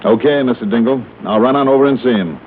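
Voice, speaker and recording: An actor playing a tough, wry private investigator with a dry, sardonic delivery in an old-time radio drama. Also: male voice, mid-range voice, quick pace, a little hiss or hum, close to an everyday voice. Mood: wry, businesslike. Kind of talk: old-time radio detective drama, first-person narration. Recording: muffled old radio recording, music behind.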